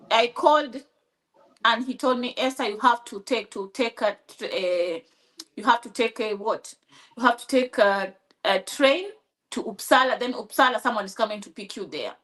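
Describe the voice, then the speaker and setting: Kenyan woman telling her story haltingly. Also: a little high, fairly bright tone. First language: Swahili. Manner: halting, with many restarts and fillers; acts out quoted dialogue. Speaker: interview guest. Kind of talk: personal storytelling in conversation.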